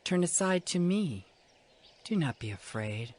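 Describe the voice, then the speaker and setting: An actress playing a soothing tent-dwelling wife, gently coaxing in a Bible audio drama. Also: female voice, fairly low pitch, very animated, a little slow. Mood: coaxing reassuring.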